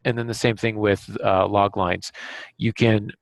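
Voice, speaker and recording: A man hosting a podcast, giving casual writing advice in a halting way, as if thinking aloud. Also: on the low side, flat delivery, vocal fry, no echo. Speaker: podcast host and novelist. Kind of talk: casual instructional discussion.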